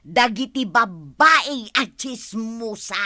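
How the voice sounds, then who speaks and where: An actress as a bright, high-voiced Ilocano village gossip. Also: female voice, expressive, slow, no echo, heavily acted. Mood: teasing. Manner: chatty, teasing, laughs often. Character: chatty village woman manicurist, laughing, pushy, gossipy. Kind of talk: Ilocano audio drama acting.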